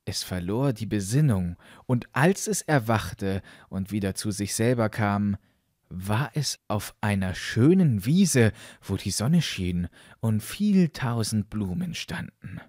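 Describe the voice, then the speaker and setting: A male storyteller reads a fairytale in a dry recording. His delivery is unhurried and deliberate, with a lively, expressive melody. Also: on the low side, dry recording. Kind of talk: fairytale audiobook narration.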